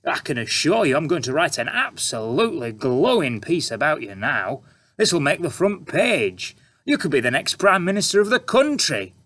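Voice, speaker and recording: A man reading a storybook aloud and voicing a sly, flattering male journalist. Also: fairly high pitch, moderate pace, pitched above the performer's own voice.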